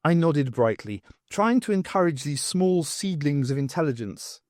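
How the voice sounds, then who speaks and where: Lively, higher-pitched man narrating fiction. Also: moderate pace, no echo, clean studio sound. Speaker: audiobook reader. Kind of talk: expressive first-person fiction narration.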